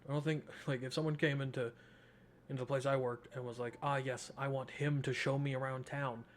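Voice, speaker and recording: A young man commentates on a game in a loose, slangy, joking way. His voice sits at an average pitch, with light game ambience and noise behind it. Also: level tone, a little fast. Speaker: gaming streamer. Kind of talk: casual let's-play commentary.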